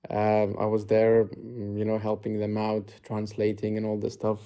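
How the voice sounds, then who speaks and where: A man's voice: lowish voice, completely flat delivery, normal speed, warm tone, smooth. A man in his twenties from Afghanistan, a livestream guest on audio only, chatting casually with many fillers and restarts. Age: 20s. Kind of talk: casual chat. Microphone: audio only, camera off.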